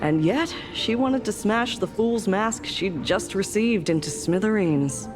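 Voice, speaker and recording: A woman's voice: medium pitch, animated, unhurried, natural voice. A woman voicing a sardonic, exasperated female doctor in a game, speaking in a sarcastic, weary way. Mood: recalling. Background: game music.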